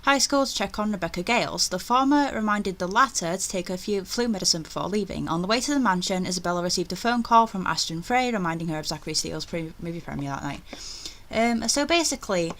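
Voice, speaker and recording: A woman's voice: fairly low pitch, lively intonation, fast, fairly bright tone. A woman hosting a let's-play with casual commentary, giggly and a little nervous. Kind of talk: casual game commentary and read-aloud.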